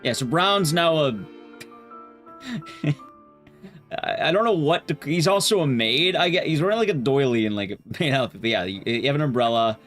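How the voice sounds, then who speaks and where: A man with a mid-pitched voice that swings up and down in a lively way, talking to his stream chat over music. He rambles through his game commentary, backing up and restarting thoughts in a loose, casual manner. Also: moderate pace. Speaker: livestreamer.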